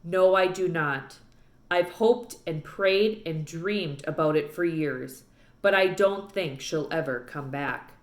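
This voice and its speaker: A woman's voice: deep voice, slow, pitched below the performer's own voice. A woman voicing a weary, grieving father who is a preacher, firm but tender, in a children's book read-aloud with roomy echo. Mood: sorrowful.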